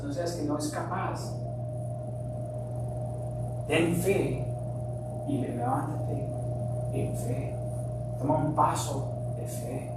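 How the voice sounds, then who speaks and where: A middle-aged man born in Colombia whose first language is English, preaching a sermon earnestly, sometimes forceful and sometimes quiet. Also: a little high. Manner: earnest preaching with shifts between emphatic and quiet. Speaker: pastor. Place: church sanctuary. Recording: very echoey, distant mic, hum.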